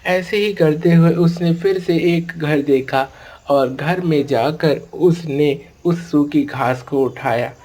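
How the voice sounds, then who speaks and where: Slow-paced woman storyteller. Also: deep voice, mellow. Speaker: narrator. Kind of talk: cartoon storytelling narration.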